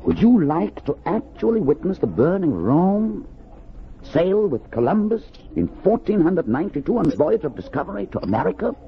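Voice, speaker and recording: Radio drama villain, smooth, needling pursuer, very animated, on a dull old recording. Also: male voice, high voice, normal speed. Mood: slyly inviting. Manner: polite, insinuating, needling. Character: smooth, sinister time-travelling pursuer posing as a tourist. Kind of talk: 1950s radio drama acting. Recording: muffled vintage radio recording with noise.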